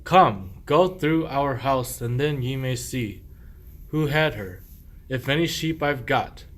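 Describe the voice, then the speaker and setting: A man playing a sly husband feigning innocence and hospitality, speaking measured archaic rhymed verse in a radio play. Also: medium pitch, expressive, fairly bright tone. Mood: pleading innocence.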